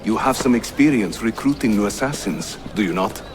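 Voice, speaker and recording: A man's voice: on the low side, expressive, fairly quick, a crowd in the background, natural voice. A man playing a friendly, practical assassin leader in a historical video game, speaking courteously. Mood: businesslike, friendly. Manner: courteous, businesslike. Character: affable, practical male assassin leader in Ottoman Constantinople.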